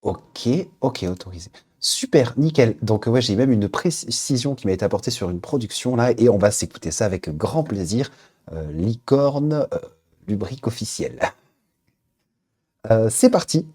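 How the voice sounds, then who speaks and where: A man's voice: fairly low pitch, lively intonation, normal speed, dark tone, breathy edge. A man hosting a casual live stream, reading names from the chat aloud and hesitating with lots of fillers as he queues up listeners' tracks. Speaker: Twitch stream host.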